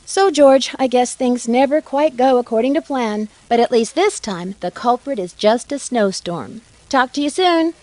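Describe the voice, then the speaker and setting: A woman voicing a plucky, curious young detective in a video game, with a clear, earnest storytelling delivery. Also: a little high, lively intonation, normal speed, few pauses, a little mellow, no room sound, natural voice. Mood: curious, slightly uneasy. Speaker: game protagonist. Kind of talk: letter narration and game dialogue acting.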